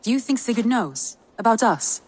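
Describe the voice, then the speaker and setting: An actress playing a composed, shrewd Viking noblewoman in a video game, talking in a measured, formal way. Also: on the high side, expressive, slight background noise, lightly acted. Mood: concerned, intimate. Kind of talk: dramatic game cutscene acting.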